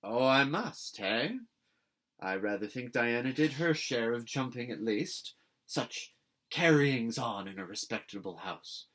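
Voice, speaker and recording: A man's voice: mid-range voice, animated, unhurried, a bit bright. A man reading a storybook as a stern, prim old woman with a severe, clipped manner. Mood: indignant. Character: stern, prim old great-aunt, severe but softening.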